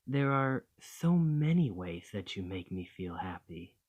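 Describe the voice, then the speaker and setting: A man's voice: low voice, sing-song, measured pace, darkish tone, full character voice. A man playing an affectionate, slightly possessive dragon boyfriend in an ASMR romantic roleplay, speaking tenderly and reassuringly. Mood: tender, adoring.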